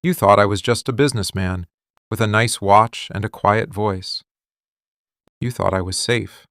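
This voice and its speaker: A man playing a possessive, brooding mafia boss lover in a scripted boyfriend ASMR roleplay, menacing and commanding, with deliberate dramatic pauses. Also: fairly low pitch, fairly bright tone, dry recording, clean studio sound. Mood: menacing, controlled.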